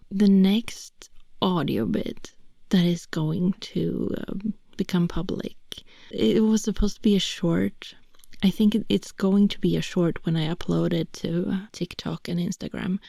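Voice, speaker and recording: Swedish-accented woman, close-mic whispered ASMR chat, slow and gravelly, dry sound. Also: lowish voice, no room sound. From Sweden. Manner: soft rambling whisper. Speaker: voice actor.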